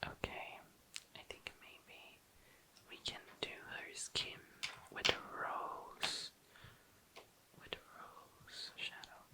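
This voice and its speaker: An ASMR host chatting casually to viewers in a hushed, breathy, unhurried whisper. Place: tabletop indoors.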